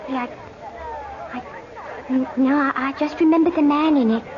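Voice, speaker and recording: A woman acting as a shy, polite little girl in a vintage radio play. Her voice is high and hesitant, and the recording is dull and lo-fi. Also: steady tone, normal speed, lightly acted. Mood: shy, eager. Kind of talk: old time radio acting. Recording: muffled old radio recording.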